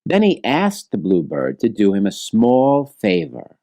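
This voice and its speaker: A man's voice: mid-range voice, wildly swooping pitch, a little slow, gravelly, warm tone, no room sound. A man reading a scripted children's story aloud as the narrator.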